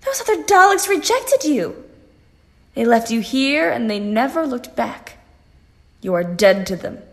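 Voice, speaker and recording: A woman playing a composed phantom in a sci-fi audio drama, reasoning in a measured, pointed and persuasive way. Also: fairly high pitch, big pitch swings. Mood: forceful.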